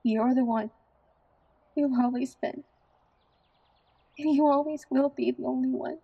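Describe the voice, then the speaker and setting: A woman playing a devoted, resolute young bride in an audio drama, husky and soft-toned, on a dull recording. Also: high-pitched, steady tone, measured pace, full character voice. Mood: earnest, loving. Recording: dull lo-fi microphone sound.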